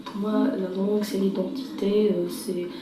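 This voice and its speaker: A woman's voice: mid-range voice, little pitch movement, unhurried, background noise. A young woman university student answering interview questions earnestly, with many hesitations. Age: young adult. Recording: echoey room from a distance with loud music.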